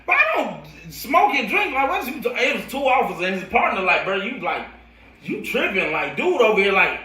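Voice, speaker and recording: Bright, echoey American man telling stories. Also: high-pitched, very animated, moderate pace. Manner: slangy, acts out dialogue and sound effects. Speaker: coach and storyteller. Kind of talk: animated casual personal storytelling. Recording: roomy echo, distant microphone.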